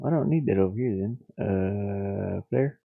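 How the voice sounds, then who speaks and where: A man thinking aloud on a casual livestream as he works, muttering in a halting, absent-minded way. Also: fairly low pitch, gravelly. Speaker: livestreamer, hobby game developer. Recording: boxy echo, thin phone-like sound.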